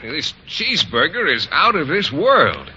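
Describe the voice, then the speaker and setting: An actor playing an earnest, naive American biology teacher in an old radio sitcom, boyish and a bit hesitant. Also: male voice, a little high, huge pitch swings, unhurried, pushed and bright, exaggerated voice. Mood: delighted. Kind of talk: 1940s-1950s American radio sitcom acting. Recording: muffled old radio broadcast, faint noise.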